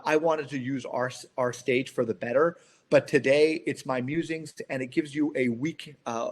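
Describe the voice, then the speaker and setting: Middle-aged male founder for a business call; earnest delivery with halting, repeated phrases. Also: medium pitch. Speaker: company founder. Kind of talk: business conference call discussion.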